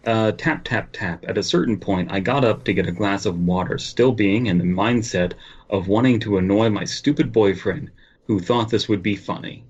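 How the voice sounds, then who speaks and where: A man's voice: lowish voice, normal speed, darkish tone, husky, muffled recording. A man co-hosting a podcast, chatting casually and reading stories aloud, with crude, profane humor. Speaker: podcast co-host.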